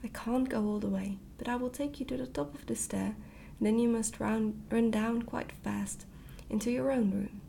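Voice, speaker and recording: A woman voicing a kindly, mysterious old grandmother queen, calm and half-whispered, in a storybook reading. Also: on the high side, normal speed, creaky, a little hiss or hum. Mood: gentle. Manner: calm, patient, gently cryptic. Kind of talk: hushed ASMR storybook reading.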